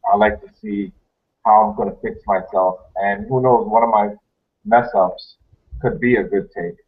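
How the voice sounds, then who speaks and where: A man's voice: on the low side, steady tone, moderate pace, breathy edge. A man in his thirties, a guest panelist in a casual chat, who talks hesitantly and trails off. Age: 30s. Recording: tinny phone-like line.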